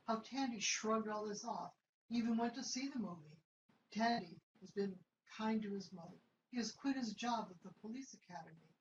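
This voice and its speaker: A woman's voice: medium pitch, moderate pace. A woman reading scripted narration for a play as its narrator. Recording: roomy, muffled, noisy recording.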